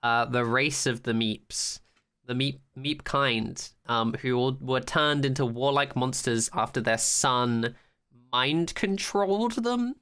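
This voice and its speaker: Podcast co-host, bright and clean-toned, mid-pitched, enthusiastic and sweary in casual recap chat. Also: slow, clean tone.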